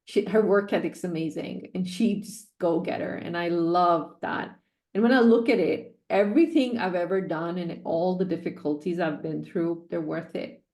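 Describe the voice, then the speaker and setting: A woman's voice: fairly low pitch, moderate pace, a little mellow, reverberant. A woman in her forties telling her personal story as a podcast guest, earnest and repeating key phrases for emphasis. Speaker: guest, sales coach.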